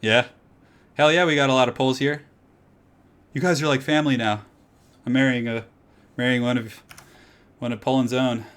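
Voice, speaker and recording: A man casting a strategy game tournament live and chatting with viewers, his slightly raspy voice getting hoarse, with excitable loud outbursts. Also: mid-range voice, normal speed, pauses often. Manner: excitable, breaks into loud exclamations.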